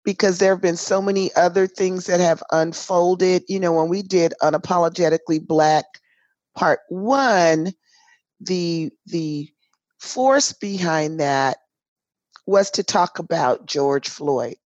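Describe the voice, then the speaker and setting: A hoarse woman co-hosting a casual podcast chat, speaking emphatically and tossing in folksy asides like "girl" and "honey". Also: on the low side, takes it slowly, a little mellow, no echo, dull audio. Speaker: podcast co-host. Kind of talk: casual chat between sisters. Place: home in a city in Washington State.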